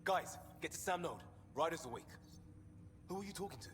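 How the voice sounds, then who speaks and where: A man's voice: fairly high pitch, expressive, a little fast, fairly bright tone, in a large hall, a little hiss or hum. A man playing an easygoing, supportive crewmate in a naturalistic video game cutscene. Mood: friendly, encouraging.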